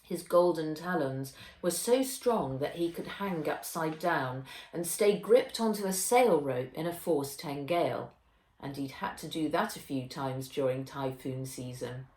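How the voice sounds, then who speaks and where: A woman reading a children's novel aloud as its author, in a measured, expressive storytelling way. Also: deep voice. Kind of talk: children's audiobook narration. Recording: roomy echo.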